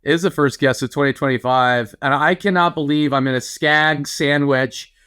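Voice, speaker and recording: A man's voice: a little high, moderate pace, sharp tone, smooth. A man co-hosting a podcast in casual chat, riffing playfully. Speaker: podcast co-host.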